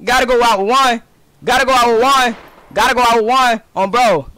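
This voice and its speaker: High-pitched, sharp-voiced young man. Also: brisk. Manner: loud, repetitive, confrontational. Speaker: streamer playing a role-play game. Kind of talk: excited gaming banter, street slang. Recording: noisy game stream audio.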